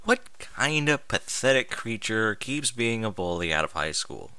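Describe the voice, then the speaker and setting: A man's voice: lowish voice, very animated, measured pace, pushed and bright, clean tone, dry recording. A man reading a story aloud with exasperated, sarcastic, sweary commentary. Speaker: host reading and mocking amateur fan fiction aloud.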